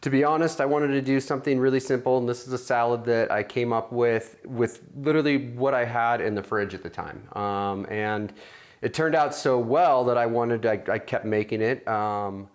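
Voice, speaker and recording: Relaxed chef explaining a recipe. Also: male voice, medium pitch, normal speed. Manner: relaxed, explanatory, many ums. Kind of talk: cooking demonstration. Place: large home kitchen, indoors.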